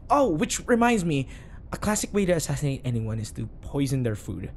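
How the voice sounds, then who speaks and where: A man's voice: on the high side, very animated, a little fast, a little mellow. A man playing an awkward, eager, obsessive bodyguard sidekick who rambles and corrects himself in an audio roleplay. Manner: rambling, flustered, self-correcting.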